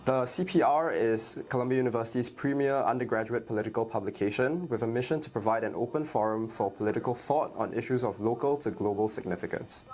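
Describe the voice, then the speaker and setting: A young man, a university student, interviewing a professor, quick and casual with many likes and yeahs. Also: medium pitch, a little mellow. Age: young adult.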